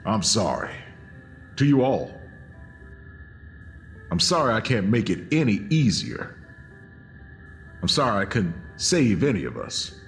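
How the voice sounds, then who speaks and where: Casting: weary, apologetic protector in audio drama, lowish voice, slow and gentle, expressive swings. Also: male voice, soft background music. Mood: sorrowful, resigned.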